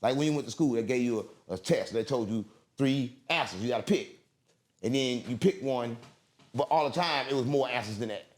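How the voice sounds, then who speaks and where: A man's voice: medium pitch, brisk. A man being interviewed, talking in a rambling, emphatic, profane way and repeating himself. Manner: emphatic, repetitive, street slang, profane. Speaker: interview guest. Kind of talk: rambling conspiratorial monologue.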